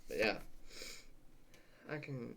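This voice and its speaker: A young man with a stuffed-up, sniffly voice talks at an unhurried pace. He rambles and mutters to himself, swearing now and then. Faint crinkling of packs sits behind him. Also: medium pitch, big pitch swings, vocal fry, bright, forceful tone, a little hiss or hum. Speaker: channel host opening card packs. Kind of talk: casual unboxing vlog chat.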